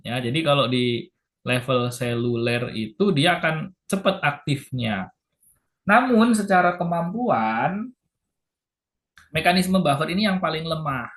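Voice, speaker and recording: A man gives a lecture at an unhurried, deliberate pace. His voice sits fairly high for a man. He has a sore throat and repeats his key points patiently. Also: expressive, a bit bright. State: sore throat, clears throat and hiccups. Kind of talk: lecture to health workers.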